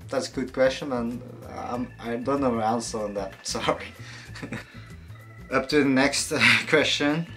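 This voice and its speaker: A young man answering viewer questions in a casual fitness vlog, relaxed and hesitant, with many fillers and some casual swearing. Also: medium pitch. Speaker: fitness vlogger.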